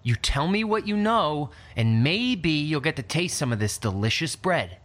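A man's voice: a little high, very animated, unhurried, a bit bright. A man playing an anxious, impulsive young man in an audio drama, loyal and stammering when upset. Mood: impatient, coaxing. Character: anxious, guilt-ridden young man, loyal to his friend.

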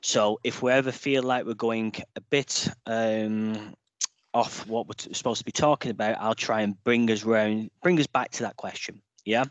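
A man hosting a panel podcast, introducing guests and guiding the talk in a relaxed way. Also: lowish voice, normal speed, no room sound, rough recording. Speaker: podcast host.

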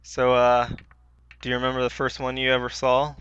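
A man's voice: mid-range voice, completely flat delivery, moderate pace, fairly bright tone, clear, resonant tone, no room sound, dull audio. A man co-hosting a podcast in casual, informal chat with slangy wording. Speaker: podcast co-host.

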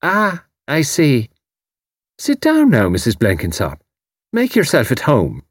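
A man's voice: mid-range voice, huge pitch swings, takes it slowly, dry recording, clean studio sound, pitched above the performer's own voice, exaggerated voice. A man voicing a genial but overbearing older Irish woman in an audiobook, blunt, emphatic and insinuating. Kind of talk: audiobook character voice.

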